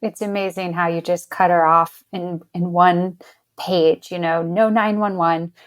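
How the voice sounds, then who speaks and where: A woman's voice: fairly low pitch, fairly even intonation, unhurried, no echo. A woman hosting a podcast, chatting casually in an enthusiastic, appreciative way. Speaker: podcast host. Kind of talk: casual interview chat.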